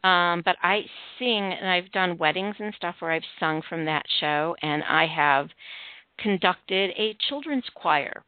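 A woman with a Midwestern accent hosting a casual chat, talking fast with many ums and restarts. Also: lowish voice, clean tone, dry recording. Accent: Midwestern American. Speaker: host. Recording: tinny phone-like line.

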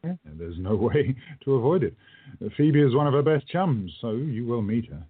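A kindly, polite time-travelling shopkeeper, acted by a man, guiding gently in a radio play. His voice is husky with lively intonation. The recording is thin and lo-fi. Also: medium pitch, moderate pace. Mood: fond, hushed.

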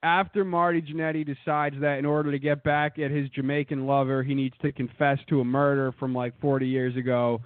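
A man's voice: medium pitch, flat delivery, fairly quick, hardly any pauses, no room sound. A young man from the Boston area hosting a podcast, rambling and joking with lots of swearing. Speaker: podcast host. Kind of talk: casual profane podcast banter. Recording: dry, tinny phone-like sound.

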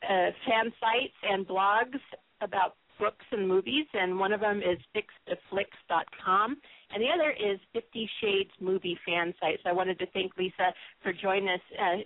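A woman's voice: medium pitch, normal speed, narrow, tinny audio. A woman hosting a conversational radio interview show.

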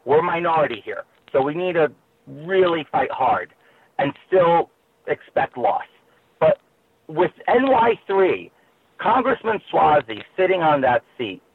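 A man's voice: fairly high pitch, creaky, slightly rough. A man, a political candidate speaking passionately and combatively as a guest on a talk radio show. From Queens, New York. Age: 30s. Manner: impassioned, combative. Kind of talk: talk radio phone interview. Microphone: telephone. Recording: tinny phone line.

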